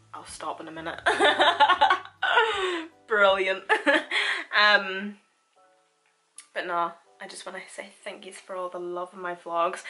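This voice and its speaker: A woman's voice: mid-range voice, bright, forceful tone. A young woman with a Northern Irish accent, chatting casually through a makeup tutorial and laughing often. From Northern Ireland. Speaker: beauty vlogger.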